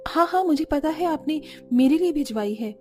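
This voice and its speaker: Cartoon lead: actress as put-upon young daughter-in-law, high female voice, plaintive yet polite, faint music behind. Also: expressive, moderate pace, warm tone. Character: long-suffering, soft-spoken young daughter-in-law. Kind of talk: Hindi cartoon dialogue acting.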